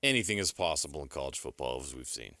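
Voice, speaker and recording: A man's voice: rumbling low, sing-song, fast, pushed and bright, no echo, studio quality. An American man giving opinionated sports commentary on a talk show, emphatic and firm, repeating his points.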